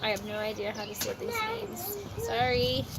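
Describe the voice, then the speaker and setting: A woman reading a children's story aloud outdoors in expressive, deliberate phrasing, with chatty asides. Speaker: storybook reader. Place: outdoors at a park. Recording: noisy outdoor amateur recording, ambient rumble.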